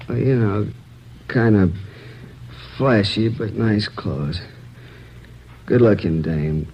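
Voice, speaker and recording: An actor playing a shaken married man recovering in hospital after a robbery, talking in a halting, hesitant way. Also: fairly low pitch, expressive. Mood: weak, uneasy. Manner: hesitant, halting, stumbles over words. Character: shaken married male robbery victim, bedridden. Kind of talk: 1950s radio drama acting. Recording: dull old radio recording with faint hiss.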